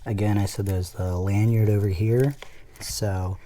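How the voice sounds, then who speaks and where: A man's voice: fairly low pitch, steady tone, measured pace, dark tone, a little hiss or hum. A man giving a casual product demonstration, explaining things step by step in an informal way. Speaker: product reviewer. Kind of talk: casual unboxing demo.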